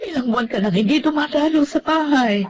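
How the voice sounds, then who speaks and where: A woman's voice: high voice, fairly quick, noisy background, lightly acted. A woman acting a hurt, emotional mother pleading with her grown son in a Filipino radio drama. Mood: pleading, tearful.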